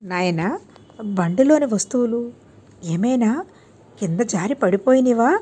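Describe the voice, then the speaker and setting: A woman's voice: a little high, lively intonation, a little slow, dark tone, breathy edge. A woman voicing a learned male guru who instructs and admonishes his disciple in a children's storybook tale. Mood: calm instructing. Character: learned male guru, patient but scolding teacher.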